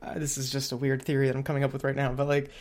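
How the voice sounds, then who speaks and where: A man's voice: medium pitch, completely flat delivery, very fast, darkish tone. A man, perhaps in his thirties or forties, talking casually on a podcast, thinking aloud in a rambling way and acting out imagined quotes. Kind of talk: casual conversational podcast chat.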